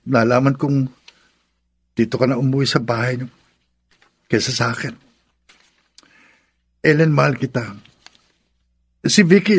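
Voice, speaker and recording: Husky, pleading young male lover. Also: on the high side. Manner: pleading, insistent, melodramatic. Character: pleading, self-centred young male lover seeking forgiveness. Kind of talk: Filipino radio drama acting. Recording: music behind the voice.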